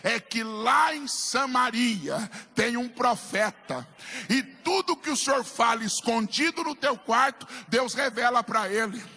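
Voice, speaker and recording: A Brazilian man preaching a fervent Pentecostal sermon as pastor, declamatory and emphatic with dramatic repetition, in a raspy, strained voice. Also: very high voice, expressive, a little slow, sharp tone. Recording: echoey hall, long reverb, music behind.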